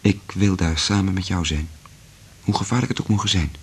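An actor playing an earnest, resolute scientist in love in a radio play, speaking in a measured, intimate way with a husky voice. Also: male voice, low-pitched. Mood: earnest, pleading. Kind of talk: Dutch radio play acting.

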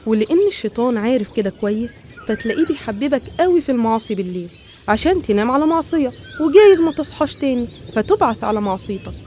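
A woman's voice: on the high side, expressive, very fast, dark tone, a little hiss or hum. A woman with an Egyptian accent narrating scripted religious stories in an explanatory, didactic manner.

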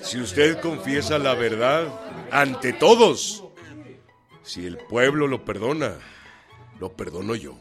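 An actor playing a stern, folksy, mocking revolutionary general with a Mexican accent in a radio drama. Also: male voice, medium pitch, lively intonation, slow pace, pauses often. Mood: loud, commanding. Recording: loud music and crowd behind.

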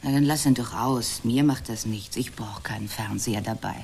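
A woman's voice: a bass voice, normal speed, vocal fry, noisy background. A woman acting in a German radio play as an easygoing, coaxing lover, teasing and offhand. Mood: offhand, puzzled. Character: tipsy, easygoing, coaxing woman on a casual tryst.

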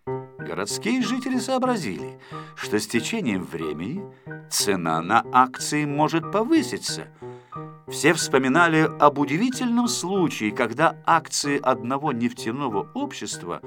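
A man narrating a children's story in an expressive, scripted audiobook style. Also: a little high, a little slow, over music.

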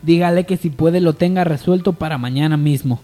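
Hombre haciendo de joven heredero afligido, timbre suave. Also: male voice, a little high, fairly quick, warm tone, soft background music, natural voice. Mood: weary, resolute. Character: grieving, anxious young male heir. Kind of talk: amateur radio soap opera acting.

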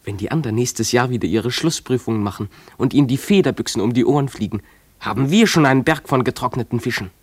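An actor playing a dreamy, defiant runaway schoolboy in a German radio play, speaking in an imploring, declamatory way. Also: male voice, mid-range voice, fast, slightly rough, dry recording, close to an everyday voice. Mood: fervent, hopeful.

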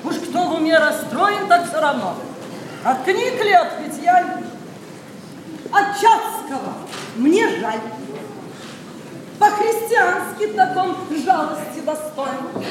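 Stubborn, shrill old society lady. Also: animated, slow, long pauses, recorded from a distance, heavily acted. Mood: pitying, opinionated. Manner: emphatic, contradicting, exclamatory. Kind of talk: stage comedy in verse, radio play. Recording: cavernous echoing hall, audience, faint noise.

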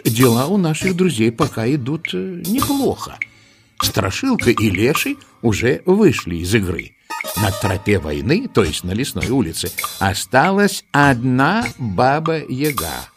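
A man narrating a children's story in an expressive, theatrical and playful way, with rhetorical asides. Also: medium pitch. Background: music.

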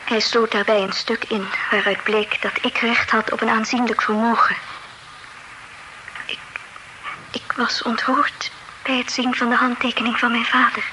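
A woman plays a young heiress in an old radio drama, earnest and dignified, her voice pitched a little high and often sinking to a near whisper. The old broadcast carries a steady hiss of noise behind her. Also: natural voice. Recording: noisy old radio play recording.

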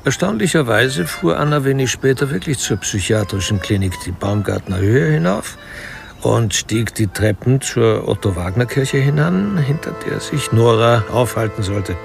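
A man narrating a radio crime drama in a dry, measured, wry literary style. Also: medium pitch, expressive, creaky, soft background music.

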